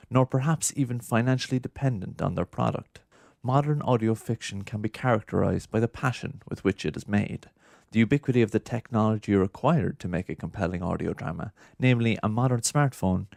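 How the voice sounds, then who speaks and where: A man's voice: on the low side, vocal fry, darkish tone, dry recording, studio quality. A man reading scripted narration for a documentary.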